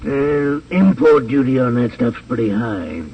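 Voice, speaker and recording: An actor playing a cautious, businesslike American drug wholesaler with a hesitant manner in a 1950s radio drama. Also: male voice, mid-range voice, lively intonation, a little slow, natural voice. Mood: wary. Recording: distant, dull, noisy old radio transcription.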